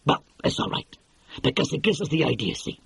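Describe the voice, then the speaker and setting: Man voicing a slangy movie mogul, pushy, high lively voice, husky, dry close audiobook sound. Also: brisk, dry recording, exaggerated voice. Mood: wheeling, pleased. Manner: slangy, pushy, exclamatory, keeps saying "see". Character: brash, excitable middle-aged male movie mogul. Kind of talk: audiobook character voice.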